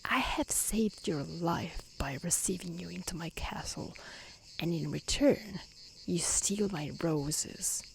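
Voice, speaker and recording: A woman voicing a stern, wrathful male beast in a storybook reading, softly and breathily, with blunt, formal menace. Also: lowish voice, slow, low-quality recording. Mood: stern, threatening. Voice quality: soft, breathy. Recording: faint outdoor insect noise.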